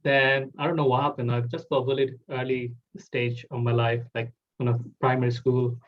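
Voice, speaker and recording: A man's voice: mid-range voice, flat delivery, moderate pace, lo-fi sound. A man, hosting a casual interview and talking loosely with many "like" fillers. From Bangladesh. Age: 20s. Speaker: host.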